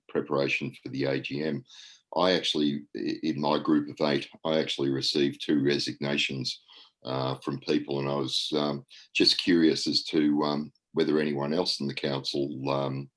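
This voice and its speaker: An Australian man with an extremely deep voice reports at an online meeting. He hesitates and rambles, filling gaps with ums and uhs. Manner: hesitant, many fillers, rambling. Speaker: registrar reporting at an annual general meeting.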